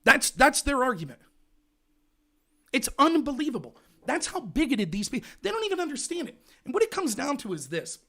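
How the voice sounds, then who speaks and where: A man hosting a live political show, ranting in a loud, indignant voice. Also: extremely high pitch, huge pitch swings, brisk. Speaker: livestream show host. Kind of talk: heated political commentary.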